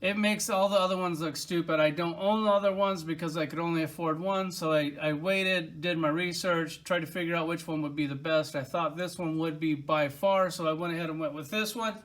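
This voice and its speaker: A man's voice: a little high, steady tone, brisk, few pauses, fairly bright tone, poor audio. A man casually reviewing toys from his collection, enthusiastic and rambling, with lots of filler words. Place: at home by his collection shelves.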